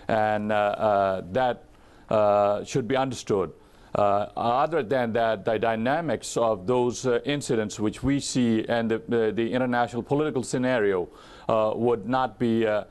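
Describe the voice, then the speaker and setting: A man giving political commentary as an interview guest, speaking in a halting, deliberate way with formal, academic phrasing. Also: medium pitch, a little mellow.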